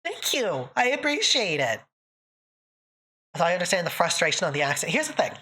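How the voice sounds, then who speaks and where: A woman's voice: fairly low pitch, big pitch swings, fairly quick, pushed and bright, breathy edge. A woman from northern Canada hosting a livestream, chatting with viewers in a thick accent, joking and laughing often. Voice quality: thick put-on-sounding accent. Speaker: livestream host. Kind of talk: casual livestream chat answering viewers.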